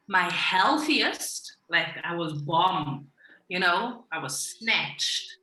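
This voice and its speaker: A woman from Africa co-hosting a casual panel chat, telling stories in a lively, animated way. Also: mid-range voice. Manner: lively, animated storyteller with bursts of speed. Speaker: co-host of a women's panel. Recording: echoey room.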